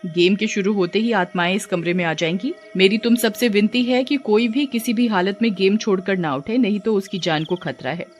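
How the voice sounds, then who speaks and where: A woman's voice: medium pitch, moderate pace, few pauses, close to an everyday voice. A woman voicing a bold, stern young woman in a Hindi audio story, speaking in earnest warnings.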